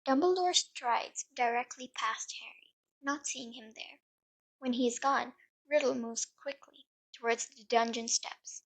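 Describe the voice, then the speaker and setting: A woman's voice: a little high, unhurried, low-quality recording. A woman narrating an audio drama from a script in a dramatic storytelling style.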